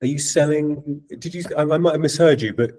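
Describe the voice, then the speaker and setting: Mellow man, distant on a call. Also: fairly high pitch. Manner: polite, halting questions.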